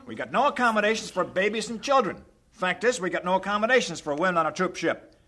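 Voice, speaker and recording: Radio play captain: American, high forceful voice, blunt, impatient, barking. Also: male voice, lively intonation, quick pace. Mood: stern, irritated. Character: brusque, impatient troop ship captain. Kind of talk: radio drama acting.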